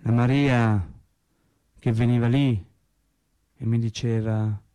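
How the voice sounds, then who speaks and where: An actor playing a naive working-class man in a radio drama monologue, telling his sad story in a colloquial, halting, wry way. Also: mid-range voice, fairly even intonation, warm tone, clean tone, no echo, close to an everyday voice. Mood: resigned, sorrowful. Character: naive working-class man recounting his cancer and death, resigned, tender.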